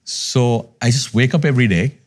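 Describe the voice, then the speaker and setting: A man's voice: mid-range voice, moderate pace. A man in his fifties from Sri Lanka, a podcast guest telling his life story in an earnest, reflective way. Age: 50s. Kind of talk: candid conversational storytelling.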